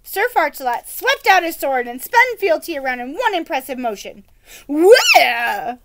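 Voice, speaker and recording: A woman's voice: sits high, sing-song, moderate pace, very noisy. A woman reading a storybook aloud to children and explaining it plainly. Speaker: read-aloud reader for children.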